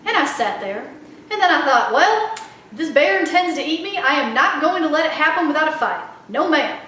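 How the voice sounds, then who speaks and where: A woman's voice: high-pitched, animated, a little fast, sharp tone, slight background noise. A woman voicing a proud old small-town Southern lady librarian who tells tales with dramatic, repeated emphasis for a children's storybook. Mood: suspenseful, excited. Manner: emphatic, repeats phrases for effect. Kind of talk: children's audiobook character voice. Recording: very echoey room, slightly distant mic.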